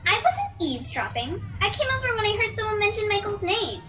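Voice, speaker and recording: Very high, bright little girl played by a female performer. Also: big pitch swings, a little fast, full character voice. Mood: defensive, pert. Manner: pert, argumentative. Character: cheeky, defiant little sister, under twelve. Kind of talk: sci-fi radio play acting.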